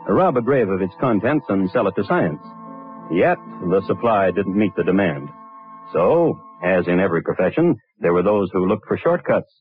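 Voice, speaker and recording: An actor playing a sardonic crime storyteller, narrating an old radio drama in dry, measured scripted style. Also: male voice, low-pitched, very animated. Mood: wry. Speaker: narrator and host. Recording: phone-like vintage radio recording with music.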